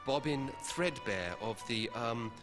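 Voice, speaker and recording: An earnest young male fantasy hero. He speaks slowly with innocent wonder and a very mobile melody. Game music sits clearly behind him. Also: mid-range voice, lively intonation. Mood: polite, innocent. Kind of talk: fantasy adventure game acting.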